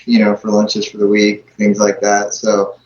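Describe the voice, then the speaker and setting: A man's voice: on the low side, monotone, moderate pace, darkish tone. A man chatting casually in an easygoing, folksy way. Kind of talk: casual chat. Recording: echoey room, dull muffled sound, faint noise.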